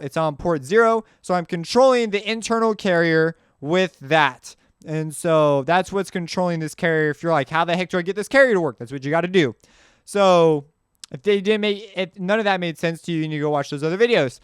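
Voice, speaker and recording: Casual man with a clear, higher-pitched voice. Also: no echo, studio quality. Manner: informal, slangy, rambling. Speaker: music software tutorial host. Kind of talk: casual off-the-cuff software walkthrough.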